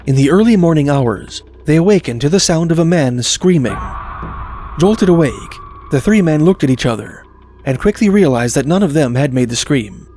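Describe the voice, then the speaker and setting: A man narrating scripted documentary stories of eerie places in a measured, formal, articulate storytelling manner. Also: on the high side, lively intonation, mellow, a little hiss or hum. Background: music.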